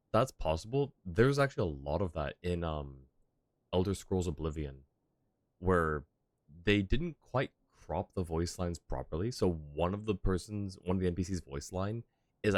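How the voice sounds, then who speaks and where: A man's voice: low voice, no echo, studio quality. A man casually commentating a game livestream, rambling with fillers and laughs over faint game music. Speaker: streamer.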